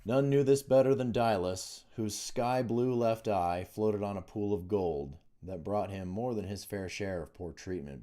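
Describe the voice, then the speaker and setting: A man's voice: sits low, mellow. A man reading a fantasy novel aloud as scripted audiobook narration, in the role of the author. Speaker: author reading own book.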